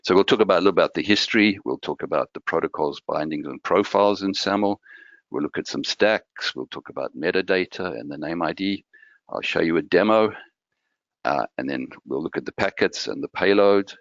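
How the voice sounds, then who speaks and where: A man from Malawi giving a guest technical presentation over a remote call, explaining in a relaxed way with some restarts. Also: deep voice, brisk, dry recording. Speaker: guest speaker. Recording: dull lo-fi audio.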